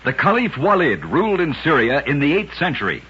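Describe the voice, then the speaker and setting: A man tells curious tales in the manner of an old-time radio narrator, dramatic and deliberate. His voice sits fairly high and is forceful, with a rough husky edge. It reads like scripted broadcast storytelling. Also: big pitch swings. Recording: dull old radio recording.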